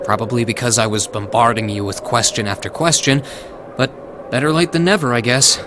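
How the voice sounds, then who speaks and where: A man's voice: medium pitch, a little fast, background noise, natural voice. A man playing an earnest, stubborn young male hero in a video game, speaking with open emotion. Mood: curious, wry.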